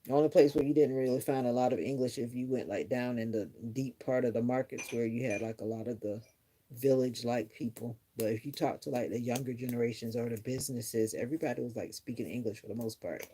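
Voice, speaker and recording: A woman's voice: extremely low pitch, steady tone, fast talker, warm tone, a little hiss or hum. A middle-aged woman chatting casually on a live stream, musing earnestly to her viewers in a reflective, rambling way. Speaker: livestreamer.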